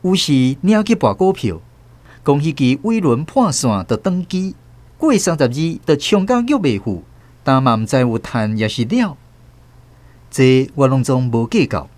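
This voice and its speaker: A man's voice: medium pitch, very animated, unhurried, darkish tone, no room sound. An actor with a Taiwanese accent playing a reflective man narrating his family's past in a scripted radio story. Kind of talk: literary scripted first-person story narration. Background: music under the voice.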